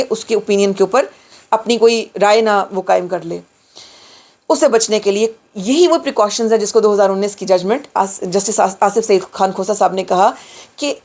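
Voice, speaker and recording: A middle-aged Pakistani woman giving an explanatory lecture, emphatically repeating and rephrasing key points and mixing in English phrases. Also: medium pitch. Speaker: lawyer giving a law lecture.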